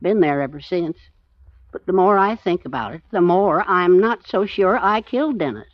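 A man's voice: high-pitched, expressive, moderate pace, no echo. A guilt-ridden little American man on the run in an old radio play. He talks in blunt, plain phrases, recorded dry and slightly muffled in 1940s fashion. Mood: weary, uneasy. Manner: terse, blunt, plain-spoken. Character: short, nervous, guilt-ridden man hiding out for years. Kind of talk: 1940s radio drama acting.

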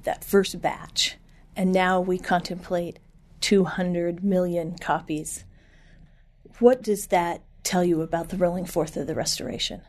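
A woman interviewer asking calm, deliberate questions. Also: fairly low pitch.

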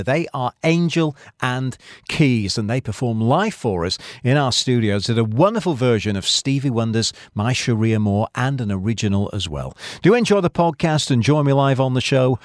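A man's voice: medium pitch, no room sound, clean studio sound. A man hosting a radio show, chatting casually as he interviews guests.

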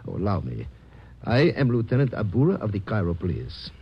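An actor playing a formal, suspicious Egyptian police lieutenant in a 1950s radio drama, speaking politely and precisely. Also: male voice, on the low side, big pitch swings, husky, dull audio, exaggerated voice. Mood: politely probing. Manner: polite, measured, precise diction.

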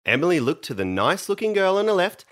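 A man narrating a children's story in an expressive, playful style. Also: high voice, fast talker.

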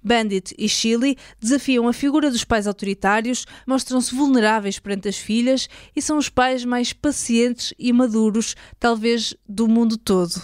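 A woman's voice: on the high side, normal speed, few pauses, darkish tone, dry recording, clean studio sound. A woman with a European Portuguese accent delivering scripted narration as a host.

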